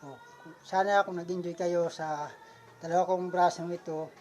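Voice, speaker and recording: A woman's voice: fairly low pitch, level tone, moderate pace, a little mellow. A woman with a Filipino accent hosting a puppet show vlog, chatting casually to viewers. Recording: dull lo-fi audio.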